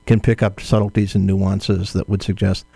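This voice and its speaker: A man answering interview questions as an author, in a measured, firm, explanatory way. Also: sits low, level tone, gravelly, dark tone, slightly rough, no room sound.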